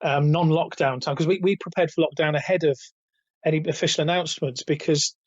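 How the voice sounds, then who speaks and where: Interview guest: raspy, slightly high-pitched man in his fifties, halting delivery with restarts, dry muffled call audio. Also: steady tone, no echo. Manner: hesitant, many restarts, chuckles between points. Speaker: guest, retail author and company founder. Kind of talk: casual interview over a call.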